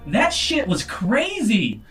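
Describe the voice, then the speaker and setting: A man voicing a cheeky, excitable young crewmate in a tabletop game, brash and playful. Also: very high-pitched, takes it slowly, music in the background, pitched above the performer's own voice, heavily acted. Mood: cheeky, excited.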